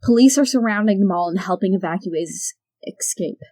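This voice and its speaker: A woman playing a TV news anchor, reading the news briskly. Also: mid-range voice, animated, dark tone, lightly acted. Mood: urgent.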